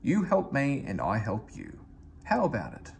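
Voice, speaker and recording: A man's voice: medium pitch, wildly swooping pitch, measured pace, creaky, slight background noise, exaggerated voice. A man voicing a big, proud male railway engine in a storybook, pompous, grand and hearty. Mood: grand, friendly.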